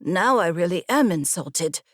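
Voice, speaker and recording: A woman's voice: fairly low pitch, lively intonation, a little slow, a bit bright, no room sound, clean studio sound. A woman narrator voicing a loyal, pestering young apprentice horsemaster in an audiobook, exasperated and wry. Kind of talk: audiobook character dialogue.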